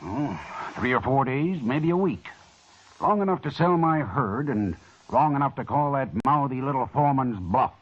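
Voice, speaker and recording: An actor playing a proud, gruff middle-aged cattleman colonel, blustering and indignant, in an old time radio western. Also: mid-range voice, animated, normal speed, close to an everyday voice. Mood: defensive, stern. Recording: muffled, dull old radio recording.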